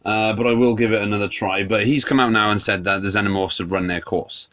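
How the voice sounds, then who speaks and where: A man's voice: on the low side, steady tone, fast, fairly bright tone. A man hosting a solo podcast, congested from a cold, rambling and opinionated. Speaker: podcast host. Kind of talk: solo casual podcast chat.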